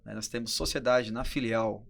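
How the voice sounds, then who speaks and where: A man's voice: on the low side, steady tone, normal speed, vocal fry, a bit bright. A Brazilian man in his early forties talking casually as a guest entrepreneur on a podcast. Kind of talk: casual conversational interview talk.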